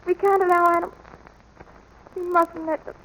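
A woman playing a sick young boy in an old radio drama, speaking in a halting, plaintive, pleading way. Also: very high-pitched, little pitch movement, fairly bright tone, a little hiss or hum, low-quality recording. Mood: weak, sorrowful. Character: sick, feverish young boy grieving for his filly. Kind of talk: 1940s radio drama acting. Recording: old radio broadcast with music underneath. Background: music.

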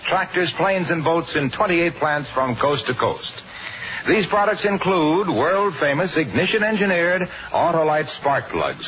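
A man's voice: a little high, slight background noise. An American man reading scripted copy as a commercial radio announcer, with a polished, emphatic 1950s sales delivery. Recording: muffled old radio broadcast, music behind.

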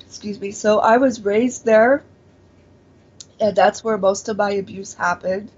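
Forties woman guest, slow and halting, lots of restarts, muffled lo-fi sound. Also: medium pitch, fairly even intonation, a little mellow, breathy edge. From born in Taiwan, raised in Pennsylvania. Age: 40s. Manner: hesitant, many fillers and restarts. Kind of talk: casual interview, personal testimony. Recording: dull lo-fi audio.

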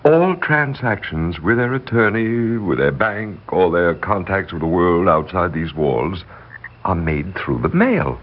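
An actor in a 1960s radio drama playing a scheming, persuasive male servant with an insinuating, deliberate manner. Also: low-pitched, big pitch swings, exaggerated voice. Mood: smug, scheming. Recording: dull, noisy 1960s radio recording.

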